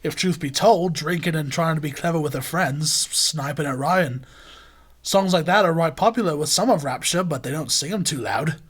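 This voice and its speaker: Radio drama role: down-to-earth British barkeep, husky, higher-pitched, unimpressed and plain-spoken. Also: male voice, normal speed. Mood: sceptical, calm. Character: down-to-earth, unimpressed male tavern keeper and sidekick.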